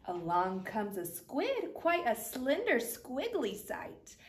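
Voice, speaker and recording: A woman in her thirties reading a picture book aloud to children, animated and rhythmic. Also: on the high side, takes it slowly, slight background noise. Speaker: school storytime reader. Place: indoors in front of a large aquarium screen.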